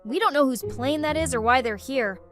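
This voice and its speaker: A woman's voice: high-pitched, expressive, normal speed, fairly bright tone, soft background music. A woman voicing a commanding, wary young squad leader in a cartoon dub, serious and authoritative.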